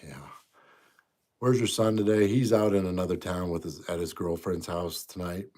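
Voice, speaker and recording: A man's voice: low voice, moderate pace. A man in his fifties, a bit congested, casually chatting on a livestream and answering viewer comments in an easygoing, rambling way. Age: 50s. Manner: easygoing, rambling, trails off quietly. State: congested, stuffy nose. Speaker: livestream host.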